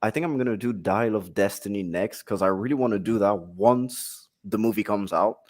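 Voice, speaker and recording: A man's voice: on the low side, moderate pace, a little mellow. A man who reviews movies, a laid-back guest chatting on a podcast. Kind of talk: casual podcast chat.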